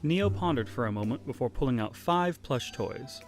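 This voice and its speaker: A man's voice: medium pitch, expressive, normal speed, soft background music. A man narrating a fan audio drama. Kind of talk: story narration.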